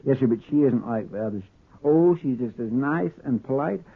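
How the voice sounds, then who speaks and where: An actor playing an earnest, soft-hearted and deferential American lawman's helper in a 1950s radio western, with a folksy way of talking. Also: mid-range voice, expressive, moderate pace, gravelly, husky. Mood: earnest, admiring. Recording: muffled, narrow-band old radio recording.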